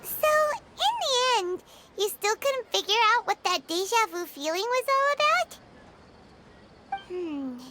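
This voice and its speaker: A woman voicing a tiny, excitable, childlike fairy companion in a video game, chatty and a bit whiny. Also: very high-pitched, a little slow, very noisy, exaggerated voice. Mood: pensive. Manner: refers to herself in the third person. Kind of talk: animated video game voice acting.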